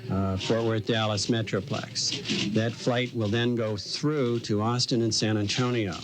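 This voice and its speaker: A man's voice: on the low side, fairly even intonation, measured pace, music in the background. A man explaining plans off the cuff in a TV news interview, on a noisy old tape. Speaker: interviewee explaining airline service.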